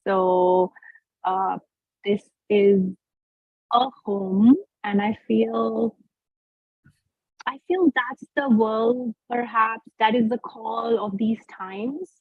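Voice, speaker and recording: A woman from India, a poet, reading her poem and then answering questions in a slow, measured, reflective way. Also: on the high side, husky, dry recording, phone-quality sound. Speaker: guest poet. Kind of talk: poetry reading and interview answers.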